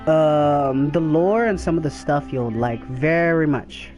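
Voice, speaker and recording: A man's voice: on the high side, takes it slowly, a little mellow, soft background music. A man streaming a game, chatting casually in a loose, rambling way. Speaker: gaming streamer. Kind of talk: casual chat while gaming. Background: game audio.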